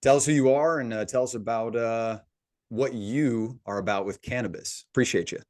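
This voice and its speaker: A man's voice: mid-range voice, normal speed, darkish tone, no echo, clean studio sound. A man hosting a podcast, easygoing and a bit hesitant, with many uhs. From Long Beach, California. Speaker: podcast host. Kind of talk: casual podcast interview.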